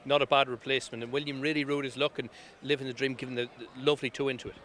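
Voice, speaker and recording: Male sports interviewer: rapid, bright voice, chatty outdoor post-race style. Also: mid-range voice, flat delivery. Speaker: racing TV interviewer. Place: outdoors at a racecourse.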